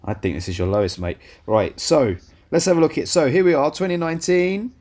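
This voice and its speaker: A young man co-hosting a casual film review podcast, blunt and teasing, swearing freely and a little hoarse. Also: a little high, expressive, brisk, darkish tone. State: losing his voice. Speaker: podcast co-host.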